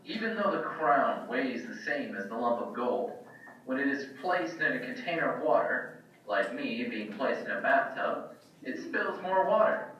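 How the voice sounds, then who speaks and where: A man's voice: mid-range voice, sing-song, normal speed, fairly bright tone. A man playing a boastful, self-absorbed genius inventor in a children's puppet show, theatrical and self-assured. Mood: smug. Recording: echoey room, distant mic, dull, some noise.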